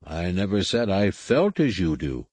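A man reading aloud, voicing a calm, gentle older male master who speaks in a measured, mild way. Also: low voice, dry recording, full character voice.

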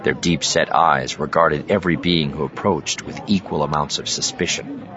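A man's voice: very deep voice, moderate pace, a bit bright. A man reading science fiction as a dramatic, scripted audiobook narration. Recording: slightly dull studio track over ambient effects.

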